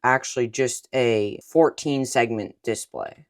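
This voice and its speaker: A man's voice: mid-range voice, a little slow. A young man, a ham radio operator, explaining radio features step by step in a room at home. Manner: explains step by step with small restarts. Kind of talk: casual technical explanation.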